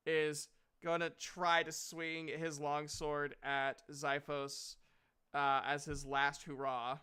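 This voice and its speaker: Bright-voiced man running a tabletop role-playing game. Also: a little high, smooth. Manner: casual, hesitant, profane. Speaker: game master.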